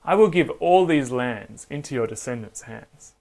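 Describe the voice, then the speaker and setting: A man voicing God as a solemn, commanding deity in a children's Bible story, speaking in measured, authoritative phrases. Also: medium pitch, expressive, gravelly, darkish tone, voice pushed down, rough recording.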